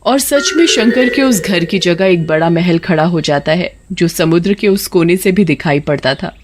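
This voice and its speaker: A woman's voice: fairly low pitch, normal speed. A woman reading scripted narration for a children's moral story as the storyteller.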